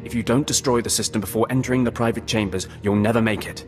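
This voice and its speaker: A man acting a gruff undercover military operative from a sci-fi game. He speaks in a deep voice, curt and condescending, with needling sarcasm, over clearly audible music. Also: steady tone, fast, lightly acted. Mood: businesslike.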